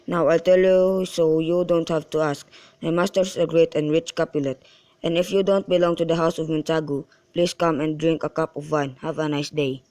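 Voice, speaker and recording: A man's voice: on the high side, little pitch movement, moderate pace, a little mellow, natural voice. A man playing a polite, simple household servant in an amateur radio drama, speaking courteously. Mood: friendly.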